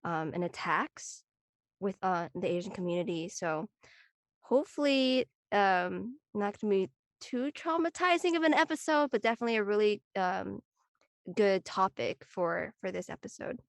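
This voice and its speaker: Female actor chatting off script. Medium pitch, informal and searching for words, echo-free recording. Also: animated, normal speed, studio quality. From Northern California. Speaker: actor in a play reading. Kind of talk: casual unscripted introduction and chat.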